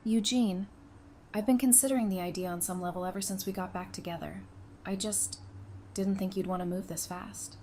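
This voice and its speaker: A woman acting an affectionate, gently teasing girlfriend in an audio drama, in a casual conversational manner. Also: mid-range voice, fairly even intonation, normal speed, natural voice. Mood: loving, teasing. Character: affectionate, teasing girlfriend in a post-apocalyptic romance. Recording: noticeable background noise.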